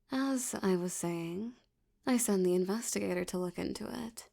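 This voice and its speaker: A seductive female mafia boss, acted by a woman in an ASMR audio drama, slow and teasing with a mellow, creaky voice. She flirts obsessively and threatens softly, often sinking into a breathy whisper. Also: medium pitch.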